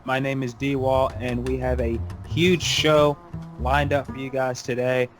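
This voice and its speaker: A man's voice: medium pitch, level tone, measured pace, soft background music. A man hosting a podcast in casual roundtable talk. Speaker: podcast host.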